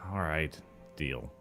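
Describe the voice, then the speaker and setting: A man voicing a stern school staffer in an animated series, with a drawn-out, terse delivery. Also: extremely low pitch, exaggerated voice. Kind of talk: animation voice acting.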